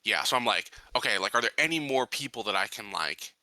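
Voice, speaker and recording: A man's voice: on the low side, expressive, fast, bright, forceful tone, no room sound. A man chatting casually as a player in a tabletop game, hesitant and piecing his thoughts together with many fillers.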